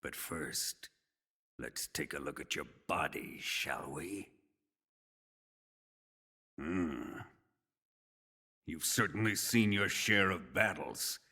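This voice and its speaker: An actor playing a sadistic military interrogator in a video game, with a menacing, mocking and deliberate delivery. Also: male voice, extremely low pitch, animated, slightly rough, exaggerated voice. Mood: quietly gloating. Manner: menacing, mocking, slow and deliberate, erupting into shouts. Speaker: villain interrogator. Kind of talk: dramatic video game cutscene acting.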